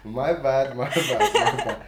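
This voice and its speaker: A man's voice: medium pitch, wildly swooping pitch, slow, reverberant, a loud crowd. A man, a podcast guest chatting casually with lots of fillers and laughs. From North London. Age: 20s. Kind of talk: casual chat.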